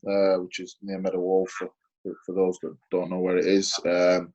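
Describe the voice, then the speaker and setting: A man's voice: low voice, monotone, normal speed, darkish tone, highs cut off. A man in his forties with a Sheffield accent, answering interview questions hesitantly, with lots of fillers and restarts. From Sheffield, England. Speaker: interview guest, former footballer.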